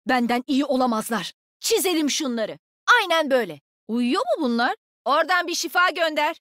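Young female shooter-game agent, high voice, swooping intonation, brash, sassy, cocky. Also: animated, moderate pace, fairly bright tone, dry recording, clean studio sound. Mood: cocky, taunting. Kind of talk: video game combat voicelines.

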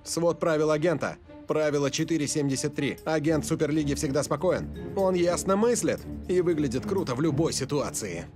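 A man voicing a stern, by-the-book cartoon investigator with an officious, deadpan delivery. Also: on the high side, moderate pace, soft background music, natural voice. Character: stern, by-the-book male league disciplinary agent. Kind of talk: dubbed cartoon acting.

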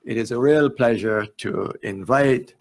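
An older man formally introducing a speaker at a conference podium, measured and pausing with fillers. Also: mid-range voice. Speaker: event chair. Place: hotel conference room. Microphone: podium microphone.

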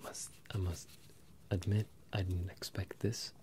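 A man in his twenties softly whispering and murmuring a halting ASMR roleplay as an apologetic wizard professor, close to the microphones. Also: level tone. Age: 20s. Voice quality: soft, breathy whispering and low murmuring. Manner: hesitant, halting, in-character delivery as a wizard professor. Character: bemused, apologetic wizard professor addressing a student. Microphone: close stereo microphones, ear to ear.

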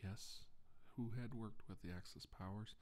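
A middle-aged man playing a shaken doctor giving a halting deposition about alien abduction in an ASMR role-play. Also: lowish voice, fairly even intonation, a little mellow, natural voice. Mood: hesitant, uneasy. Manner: halting, hesitant, deliberate.

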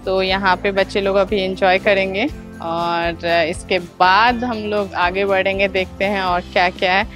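A woman in her thirties giving a chatty travel vlog tour with a lapel mic, pausing with "uh" as she explains things to viewers. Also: medium pitch, flat delivery, fairly bright tone, faint music behind. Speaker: travel vlogger. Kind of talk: casual travel vlog narration. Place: outdoor theme park. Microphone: clip-on lapel mic.

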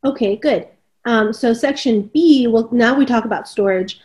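A woman's voice: fairly high pitch, moderate pace, warm tone. A woman around her thirties reading a formal standard aloud on a video call, as a co-host. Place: kitchen at home, indoors, on a video call.

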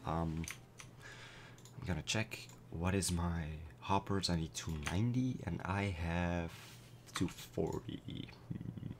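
Young man, very low strained voice, cracking, laid-back game explainer, faint hiss behind. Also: slow. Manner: relaxed, explanatory, many fillers. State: voice cracking after a concert. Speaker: gameplay commentator. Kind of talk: casual gameplay commentary.

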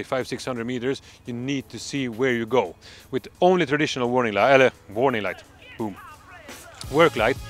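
Swedish-accented middle-aged man, mid-pitched, eager product pitch with fillers, outdoors at night, faint music behind. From Sweden. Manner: enthusiastic salesman, halting with fillers. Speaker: product presenter. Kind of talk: product demonstration vlog. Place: dark countryside road at night, outdoors.

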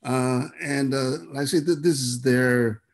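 An older American man shares memories informally on a video call. He has an average-pitched voice and a rambling, halting delivery, often repeating words as he gathers his thoughts. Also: fairly even intonation. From San Francisco, United States. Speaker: guest speaker, artist and storyteller. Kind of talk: informal reminiscing.

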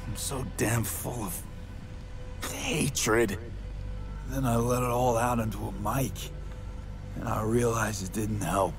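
An actor playing a weary, wounded young mercenary in a video game, talking in terse, blunt, halting street language. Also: male voice, medium pitch, steady tone. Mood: haunted, bitter. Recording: music behind the voice.

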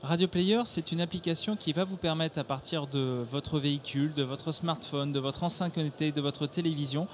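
A man's voice: fairly high pitch, steady tone, moderate pace, hardly any pauses. A man in his thirties with a French accent, giving articulate explanations as an executive guest in a promotional interview. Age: 30s. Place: exhibition studio stand at a motor show. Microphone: handheld microphone. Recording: clear background ambience noise.

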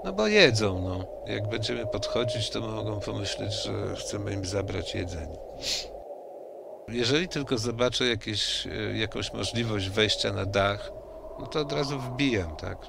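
Gravelly-voiced male player, noisy line. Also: fairly low pitch, animated, measured pace. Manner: thinks aloud, hesitant, seeks confirmation. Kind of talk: casual tabletop play. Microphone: computer mic on a video call. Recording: noisy online session audio.

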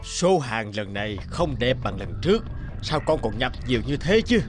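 A man's voice: mid-range voice, sing-song, normal speed, music in the background, natural voice. A man as a stern old merchant father. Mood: displeased, lecturing. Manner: scolding, authoritative. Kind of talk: cartoon fairy-tale voice acting.

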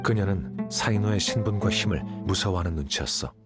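Man voicing a low, aloof analytical scholar. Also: a little fast, loud music behind the voice, close to an everyday voice. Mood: composed, detached. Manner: composed, matter-of-fact explaining. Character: calm, aloof, analytical young male scholar. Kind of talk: Korean game dialogue voice acting.

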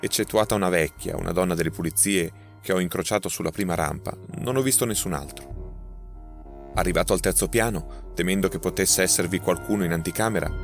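A man voicing a frightened young man accused of murder, his words tumbling out in agitation. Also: low-pitched. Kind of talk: audiobook character voicing.

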